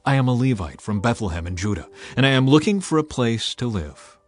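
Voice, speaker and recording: A man's voice: medium pitch, very animated, a little fast, soft background music. A man voicing a young wandering priest of ancient times in a dramatized Bible reading, plain and matter-of-fact.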